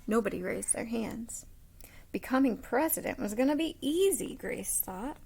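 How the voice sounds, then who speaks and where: A woman reading a children's story aloud expressively, with dramatic pauses and hushed asides. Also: fairly high pitch, animated, vocal fry, slightly rough. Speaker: storybook reader.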